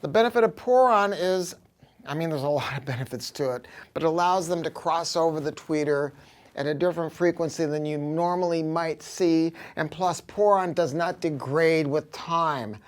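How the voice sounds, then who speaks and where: An American man giving an informal, off-the-cuff product pitch as a dealer, talking in an emphatic, slangy, salty way. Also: normal speed. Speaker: audio dealer presenting a product. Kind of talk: informal product review, off-the-cuff sales talk.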